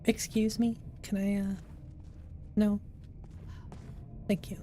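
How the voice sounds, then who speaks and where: Wry woman streamer, flat mellow voice. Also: mid-range voice, takes it slowly, slight background noise. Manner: chatty, wry asides. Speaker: game streamer. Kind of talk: casual gameplay chat. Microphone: XLR microphone.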